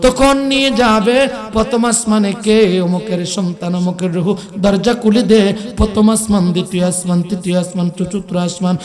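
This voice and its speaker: A man's voice: high-pitched, a little mellow. A man delivering an impassioned Islamic sermon, telling it dramatically and emphatically, with melodic, chant-like phrasing. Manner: emphatic, slow, breaks into melodic chant and drawn-out cries. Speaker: Islamic preacher.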